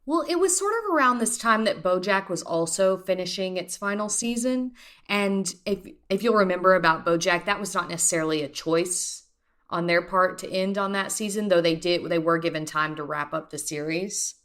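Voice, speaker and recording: A woman's voice: fairly low pitch, moderate pace, fairly bright tone. A woman in her mid twenties co-hosting a podcast, talking in an enthusiastic, rambling casual way. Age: 20s. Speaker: podcast co-host. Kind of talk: casual chat.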